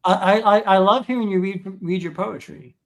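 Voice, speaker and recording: High-voiced man, halting interviewer. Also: gravelly. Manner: halting, many restarts and repeated words. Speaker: podcast host. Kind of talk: interview conversation.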